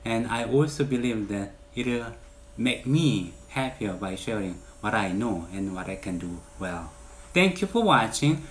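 A man in his forties from South Korea with a Korean accent, speaking earnestly and in measured phrases to camera as he introduces his channel as a realtor, in a bright indoor room. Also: on the low side, animated, a little hiss or hum. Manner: earnest, measured, with stressed lifts on key words.

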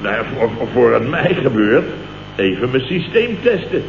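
An actor from the Netherlands playing a blustering, indignant company boss in a radio comedy, telling tales in clipped, mock-dramatic sentences with a Dutch accent. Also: male voice, mid-range voice, big pitch swings, a little slow, vocal fry. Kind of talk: 1960s-70s radio comedy acting. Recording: muffled old radio recording, noisy, roomy, hum.

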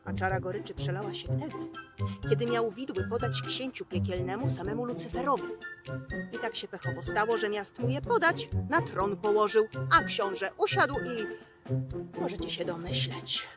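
A woman in her thirties telling a children's fairy tale in an expressive, theatrical, folksy storyteller's manner. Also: mid-range voice. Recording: music behind the voice. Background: music.

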